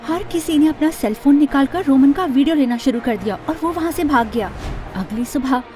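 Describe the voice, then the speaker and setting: Casting: actress as young woman narrating her dramatic cartoon life, high pitch, mellow tone, expressive. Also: a little fast, soft background music, close to an everyday voice. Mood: earnest. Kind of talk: first-person animated story narration.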